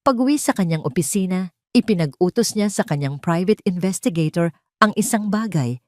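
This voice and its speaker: A woman with a fairly low voice narrates scripted romantic fiction. Her reading is dramatic, in the style of an audio drama. The recording is dry, with no room sound. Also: lively intonation, a little fast, darkish tone, no echo. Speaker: story narrator.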